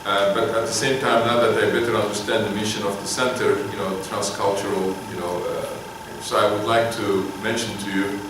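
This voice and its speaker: A middle-aged man from Turkey, giving an informal university lecture in a halting, filler-heavy way with self-deprecating humor. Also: fairly low pitch, fairly even intonation, recorded from a distance, background noise. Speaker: guest lecturer. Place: large lecture hall. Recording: echoey hall heard from afar.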